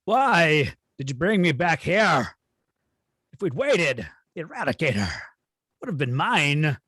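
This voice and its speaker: A man's voice: on the high side, sing-song, a little slow, sharp tone, breathy edge, no room sound, clean studio sound, full character voice. A man playing an imperious alien villain, pompous and threatening, in an amateur table read. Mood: angry, menacing.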